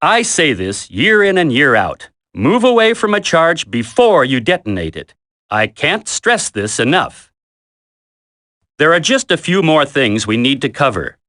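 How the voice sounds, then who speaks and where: A stern combat instructor from a video game, drilling a trainee with blunt, emphatic orders. The voice sits fairly high for a male and swings in pitch with a forceful, bright edge. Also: dry recording, studio quality, full character voice. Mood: stern, wry. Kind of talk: video game tutorial voice acting.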